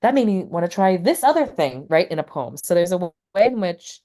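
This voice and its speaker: A woman's voice: fairly low pitch, very animated, a little fast, warm tone. A woman poet and teacher talking casually in an interview, rambling and full of fillers like "like" and "you know.".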